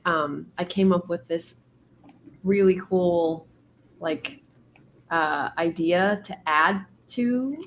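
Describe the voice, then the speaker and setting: A woman hosting a writing stream, talking casually with many fillers and laughs, her voice going hoarse. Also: on the low side, slight background noise. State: losing her voice. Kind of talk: casual chat.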